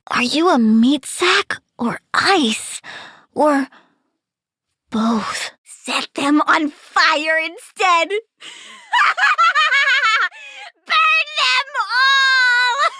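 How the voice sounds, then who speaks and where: A woman's voice: high-pitched, pushed and bright, no echo, clean studio sound, exaggerated voice. A woman voicing a childlike, sweet and quirky girl plant villain with gleeful menace, as a video game character. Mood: gleeful, fierce. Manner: cutesy, childlike, matter-of-fact about menace.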